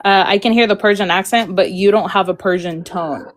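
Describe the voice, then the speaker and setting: A woman's voice: mid-range voice, fairly quick. A woman guest on a casual livestream chat, frank and rambling, often trailing off mid-thought.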